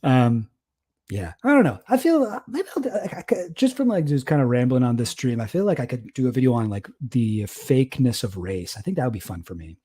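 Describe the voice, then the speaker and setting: Mellow-voiced man rambling on stream. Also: medium pitch, sing-song, fairly quick, slightly rough. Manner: rambling, self-deprecating, laughs often. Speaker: streamer reacting to a debate. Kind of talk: casual livestream commentary.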